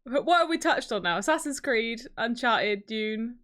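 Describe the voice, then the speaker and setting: A woman's voice: on the high side, expressive, moderate pace, a bit bright. A woman co-hosting a podcast, chatting casually in an enthusiastic, opinionated way. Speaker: podcast co-host. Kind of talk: casual film and gaming chat.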